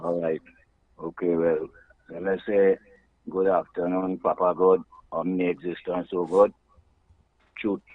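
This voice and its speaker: A man with a Trinidadian accent calling in to a radio show, greeting in a casual but ceremonious, unhurried way. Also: low voice, little pitch movement, dry recording. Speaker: radio caller. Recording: dull lo-fi call, dry.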